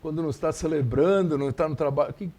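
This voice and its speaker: A man's voice: a little high, rapid-fire, dark tone. A man hosting a church talk show, welcoming guests and asking questions with short interjections. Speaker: program host. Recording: with music and crowd behind. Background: music and audience noise.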